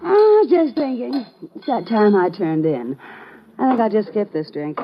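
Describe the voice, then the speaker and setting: Sardonic dame, animated delivery. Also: female voice, medium pitch, normal speed. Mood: dry, sardonic. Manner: dry, cutting, wisecracking. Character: sardonic, sharp-tongued woman at a bar. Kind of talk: old-time radio drama acting. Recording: dull vintage radio recording, faint noise.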